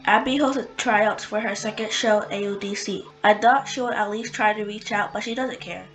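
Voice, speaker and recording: A woman's voice: medium pitch, moderate pace, fairly bright tone, faint music behind, close to an everyday voice. Woman playing a scolding dance mom, echoey room. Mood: indignant. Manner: blunt, nagging. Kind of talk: amateur roleplay voice acting.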